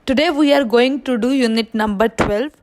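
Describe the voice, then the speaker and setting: A woman's voice: fairly high pitch, extremely slow, a little hiss or hum. A woman with an Indian accent teaching a language lesson, explaining didactically and often posing questions that she answers herself.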